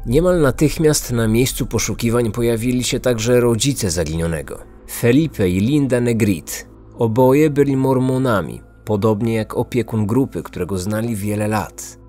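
A man narrates a scripted true-crime podcast as its host. His pitch is average for a male voice, and music plays underneath. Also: lively intonation, moderate pace, warm tone.

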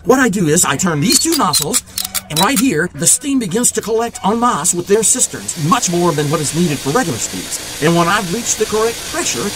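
An actor in an audio drama playing an eccentric, eager genius mechanic in a wheelchair, wordy and precise. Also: male voice, sits high, very animated, fairly quick, background noise. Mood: excited.

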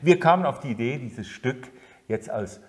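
A middle-aged man explaining his theatre company's radio drama project in a relaxed making-of interview. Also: mid-range voice, moderate pace. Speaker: theatre producer. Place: studio with concrete walls.

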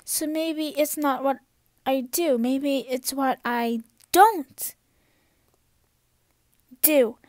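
A woman's voice: sits high, a little mellow, no room sound, clean studio sound. A young woman voicing an earnest, anxious young female cartoon pony in an urgent, emphatic way. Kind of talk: amateur cartoon voice impression.

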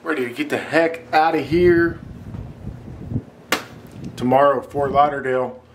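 A man's voice: mid-range voice, a little slow, background noise. An American man in his forties chatting casually and informally to viewers as a vlogger. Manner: informal, colloquial. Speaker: outdoor vlogger. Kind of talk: casual vlog chat.

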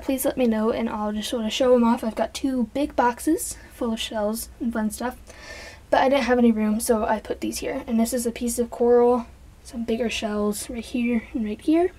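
A young woman, congested from allergies, chatting casually and softly as she shows off her collection, sometimes dropping to a whisper. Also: fairly high pitch, steady tone, normal speed. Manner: chatty, rambling, soft, drops into whispers. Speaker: vlogger. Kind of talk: casual show-and-tell vlog. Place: bedroom. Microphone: handheld camera.

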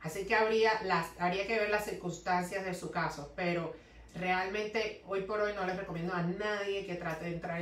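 A woman's voice: mid-range voice, fairly bright tone. A woman in her thirties from Cuba with a Cuban Spanish accent, an immigration lawyer answering viewers' questions live in her office, mixing in English words. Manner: explanatory, reads questions aloud, mixes in English words. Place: law office, indoors. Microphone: webcam at desk distance. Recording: echoey office, voice a bit far from mic.

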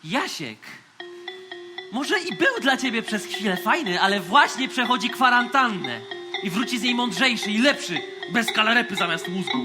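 A man's voice: high voice, big pitch swings, moderate pace. An actor playing a bossy, macho boy captain in a radio play, pompous and scornful. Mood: smug, stern. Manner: pompous, self-assured. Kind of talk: theatrical radio play acting. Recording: loud music behind the voice.

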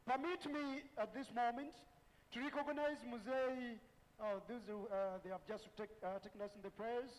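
A middle-aged man with a Ugandan accent, deliberately and emphatically announcing as master of ceremonies at a formal graduation. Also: very high voice. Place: large event tent. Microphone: handheld microphone. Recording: reverberant PA sound in large tent.

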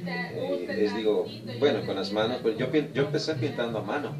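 A man's voice: lowish voice, normal speed, a loud crowd. A man in his forties from El Salvador, answering interview questions casually in a modest, hesitant, reflective way. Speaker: guest, romantic music singer and painter. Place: small indoor room. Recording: echoey room, distant mic, people audible behind.

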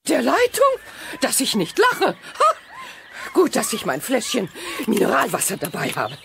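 Children's radio-play role: over-excited female reporter, very high, swooping delivery, gushing and theatrical. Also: unhurried, vocal fry, background noise, full character voice. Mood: alarmed, melodramatic. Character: frantic, over-excitable woman newspaper reporter. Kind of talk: children's audio drama acting.

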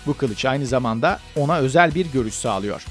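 A man's voice: mid-range voice, expressive, brisk, soft background music. A man reading scripted narration as the narrator of a countdown video.

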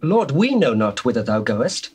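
An actor playing a perplexed, questioning disciple in a dramatized scripture reading. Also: male voice, mid-range voice, sing-song, normal speed, a little mellow, close to an everyday voice. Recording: noisy old cassette recording.

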